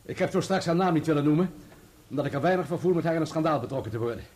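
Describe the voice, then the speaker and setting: Radio drama defendant, played by a man: male journalist, self-justifying, clipped delivery, lightish high register. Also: very fast, darkish tone, lightly acted. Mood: reluctant, self-justifying. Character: defensive male journalist testifying in his own trial. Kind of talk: Dutch radio play acting.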